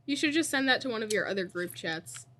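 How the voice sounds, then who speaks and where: A woman's voice: fairly high pitch, lively intonation, quick pace, pushed and bright. A woman chatting casually among friends, tossing in dry, contrarian remarks.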